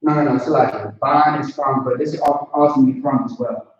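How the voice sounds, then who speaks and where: A man's voice: medium pitch, fairly even intonation, normal speed, a little hiss or hum. A man giving an informal language lesson, talking casually and often checking that listeners follow. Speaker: language teacher. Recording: distant lo-fi mic in a very echoey room.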